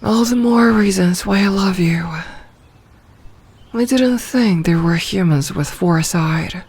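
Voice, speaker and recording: A possessive werewolf pack leader, acted by a woman in an ASMR fantasy roleplay. She speaks very slowly and deliberately, in formal, commanding phrases. She is a grown woman who rules her pack and is devoted to her mate. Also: medium pitch, a little mellow, breathy edge, no room sound. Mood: tender, adoring.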